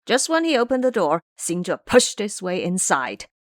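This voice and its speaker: A woman's voice: mid-range voice, big pitch swings, normal speed, no echo, clean studio sound. A woman narrating an audio drama in a scripted, dramatic storytelling style.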